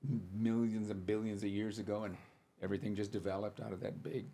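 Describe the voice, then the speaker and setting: An older man with a husky, slightly breathy voice tells stories from his past on a casual podcast. He sounds dry and matter-of-fact, and he slips into the voices of the people he is quoting. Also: fairly low pitch, flat delivery, fast, warm tone. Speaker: comedian guest.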